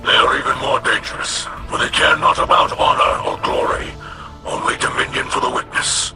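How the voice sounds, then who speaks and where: Man voicing a rasping, solemn alien warrior-noble. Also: lightly acted. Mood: grave. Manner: formal, solemn, measured diction. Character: grave, proud male alien warrior-noble of a fallen house. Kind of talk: scripted video game voice acting. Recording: game music behind the voice.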